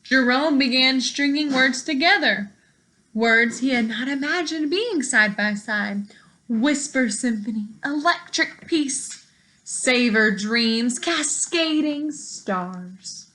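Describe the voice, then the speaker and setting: Bright, high-pitched woman reading to children slowly. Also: rough recording. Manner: expressive, teacherly, addressing young listeners directly. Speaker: storyteller reading a picture book to children. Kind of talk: children's read-aloud, pausing to explain words and ask questions.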